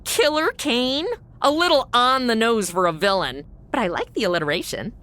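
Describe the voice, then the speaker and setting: A woman's voice: on the high side, expressive, moderate pace, a bit bright. A woman playing a sarcastic, wisecracking modern young woman in a comedy audio drama. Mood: snarky. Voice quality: snarky, wisecracking.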